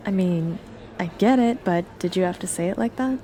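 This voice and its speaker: A woman's voice: lowish voice, moderate pace, warm tone, a little hiss or hum, natural voice. An actress in her twenties playing a guarded, defensive young woman in a film drama, talking in a conversational, evasive way. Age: 20s. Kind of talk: naturalistic film drama dialogue.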